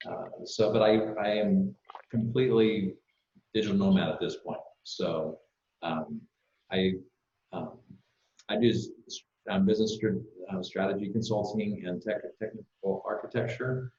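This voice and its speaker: A middle-aged American man with a lowish voice talks casually as a panelist. He is halting, often restarting his words. The sound is echoey and somewhat muffled. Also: fairly even intonation, warm tone. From Kansas, United States. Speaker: panelist, business strategy consultant. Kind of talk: casual self-introduction on a panel. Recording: echoey, dull online call audio.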